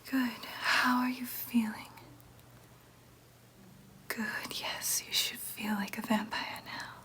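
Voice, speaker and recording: A woman voicing a gentle, businesslike vampire nurse in an ASMR roleplay, in a breathy whisper with a soothing clinical manner. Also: a little high, level tone, slow pace. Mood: pleased, attentive. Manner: soothing, clinical, hesitant asides.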